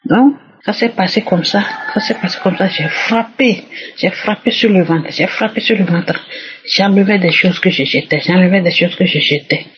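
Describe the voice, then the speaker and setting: A woman's voice: fairly low pitch, moderate pace, slight background noise. A woman giving an emphatic personal testimony, repeating her phrases, on a muffled recording.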